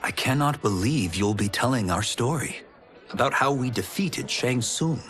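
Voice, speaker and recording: An actor playing a humble, earnest warrior monk in a video game, polite and formal. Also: male voice, medium pitch, lively intonation, moderate pace, fairly bright tone, faint music behind, close to an everyday voice. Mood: surprised. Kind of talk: cinematic game cutscene acting.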